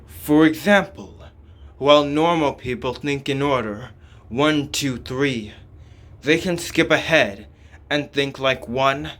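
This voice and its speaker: Male game surgeon, read by a man, calm and devoted to humans, slow deliberate delivery, explanatory. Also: medium pitch. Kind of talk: scripted game dialogue reading.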